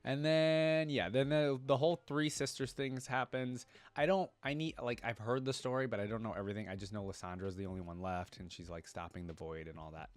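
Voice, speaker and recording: A man's voice: mid-range voice, fairly even intonation, brisk, hardly any pauses, smooth. A man giving casual reaction commentary as a video host, thinking aloud in informal, slangy language.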